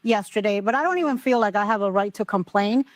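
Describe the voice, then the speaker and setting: A woman's voice: mid-range voice, fast talker, dry recording. A woman talking in a conversational style. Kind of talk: conversational talk.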